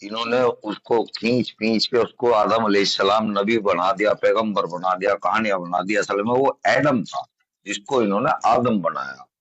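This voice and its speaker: A man's voice: on the low side, normal speed, a bit bright, no room sound. A middle-aged man argues bluntly and insistently in an informal debate on a phone call. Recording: dull lo-fi phone call recording.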